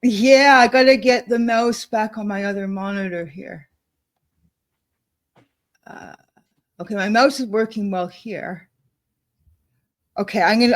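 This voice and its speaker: Casual co-host, a woman at her computer mic, chatty, mid pitch, narrating her clicks. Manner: chatty, thinking aloud, frequent laughs. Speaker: co-host demonstrating software. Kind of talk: casual screen-share chat.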